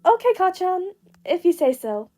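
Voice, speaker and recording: A woman's voice: very high-pitched, very animated, moderate pace, a little mellow, soft background music, voice pushed up. A woman voicing an earnest, slightly huffy teenage boy in an audio story.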